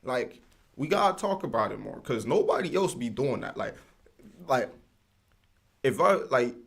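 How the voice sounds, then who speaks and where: A man's voice: medium pitch, very animated, unhurried, creaky, breathy edge. A man hosting a livestream in casual, rambling slang with lots of fillers. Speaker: livestream host.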